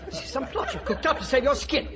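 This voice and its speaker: An actor playing a pompous, officious director in a radio drama, speaking formally and with authority. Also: male voice, extremely high pitch, very animated, fast talker, crowd noise. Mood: indignant, flustered. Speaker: director of a hatchery.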